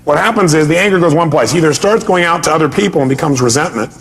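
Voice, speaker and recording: A man with a distinctly high-pitched voice leads a motivational seminar. He speaks emphatically, poses rhetorical questions to his listeners, and acts out people's reactions as he drives his key points home through repetition. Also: racing, fairly bright tone, slight background noise.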